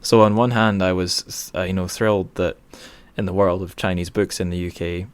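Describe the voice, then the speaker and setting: A man's voice: low-pitched, fairly even intonation, moderate pace, clean tone. A man hosting a podcast interview in a chatty, informal, rambling conversational way. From northern Britain.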